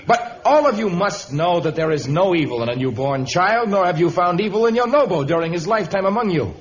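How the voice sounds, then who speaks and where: An actor playing a noble, commanding jungle hero with a formal, declamatory delivery in an old radio adventure. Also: male voice, high voice, expressive, fairly quick. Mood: commanding, indignant. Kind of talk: 1950s-style radio adventure acting. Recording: muffled old radio recording.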